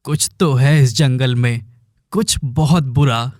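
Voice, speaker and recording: A man's voice: mid-range voice, slow pace, a little mellow, dry recording, studio quality, lightly acted. A man voicing an earnest, brooding young village woodcutter in a melodramatic cartoon. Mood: anxious.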